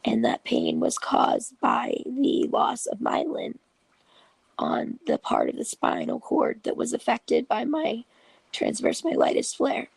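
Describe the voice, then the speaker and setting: Raspy sick-sounding vlogger w a cold, super slow and careful, kinda whispery, dry close sound. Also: mid-range voice, huge pitch swings, no room sound, low-quality recording. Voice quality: raspy, gravelly and breathy. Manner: slow, patient explaining with half-whispered stretches. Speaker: video host and patient support group leader. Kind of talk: informal explanatory vlog.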